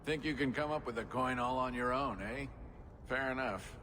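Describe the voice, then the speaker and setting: A man's voice: mid-range voice, normal speed, sharp tone, lightly acted. A man voicing a wry, persuasive dwarf rogue deal-maker in a video game. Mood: coolly teasing. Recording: reverberant hall, noticeable ambient noise.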